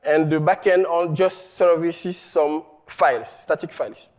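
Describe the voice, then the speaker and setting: A man from Cameroon, giving a conference talk on his research, halting often and checking in with the audience. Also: on the high side, darkish tone. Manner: halting, many fillers and restarts, checks in with "okay?". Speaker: researcher presenting a paper. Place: conference hall.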